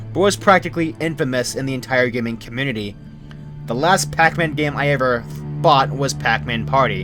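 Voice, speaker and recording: A young man reading a scripted first-person story as a creepypasta narrator. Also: mid-range voice. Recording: music behind the voice. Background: music.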